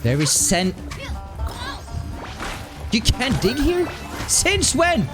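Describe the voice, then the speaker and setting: A man streaming a game, with a light, high-pitched voice, chatting casually and rambling with lots of laughs. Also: drawn-out words. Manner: animated, rambling, laughs often. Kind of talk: casual live-stream chat while playing. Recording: music playing underneath. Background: game music.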